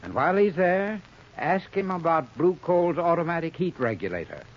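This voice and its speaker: A man with a slightly high voice delivers a 1930s radio commercial. His phrasing is formal and instructive, like a scripted expert talk. The recording is dull and muffled. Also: sing-song, moderate pace. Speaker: radio advertising spokesman, heating expert.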